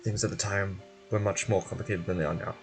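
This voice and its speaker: Man playing a low, grave game researcher. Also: fairly even intonation, music in the background. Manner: measured, formal, expository.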